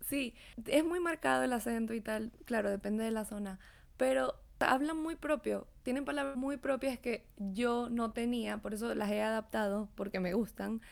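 A woman from Venezuela, talking in a lively, expressive way in a casual conversation. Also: on the high side, moderate pace, gravelly. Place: living in Mexico.

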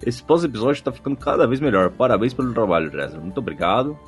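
A man's voice: medium pitch, lively intonation, quick pace. A man with a Brazilian accent hosting a podcast, chatting casually in a jokey, slangy way and reading listener comments. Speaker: podcast host. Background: background music.

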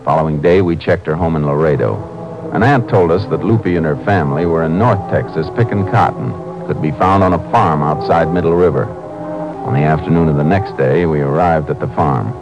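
An actor playing a calm, businesslike Texas Ranger who narrates and investigates in a 1950s radio drama, terse and matter-of-fact. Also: male voice, a bass voice, a little fast, dull audio. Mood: matter-of-fact narration. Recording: old radio drama with music.

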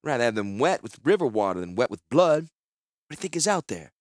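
A man voicing a sober, wary woman adventurer in a fantasy audiobook, with a hushed and cautious manner. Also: mid-range voice, big pitch swings, quick pace, no echo, studio quality, pitched above the performer's own voice, natural voice.

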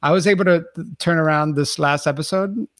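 Male podcast producer, excited talker with a higher voice, dry booth sound. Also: fairly quick, dry recording. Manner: enthusiastic, laughs often, restarts sentences. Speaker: podcast host and producer, guest. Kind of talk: casual interview chat.

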